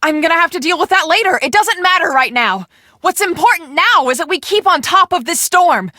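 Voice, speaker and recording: An actress playing a brisk, bossy young woman on a storm-chasing team in a video game, speaking emphatically and expressively. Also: very high voice, lively intonation, bright, forceful tone, dry recording, clean studio sound, exaggerated voice. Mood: stressed, urgent. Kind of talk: scripted video game dialogue.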